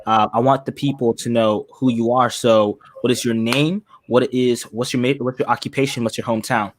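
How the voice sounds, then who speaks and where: A man's voice: mid-range voice, steady tone, normal speed, no room sound. A man hosting an interview show in casual, colloquial chat. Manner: colloquial, says "man" and "bro" often.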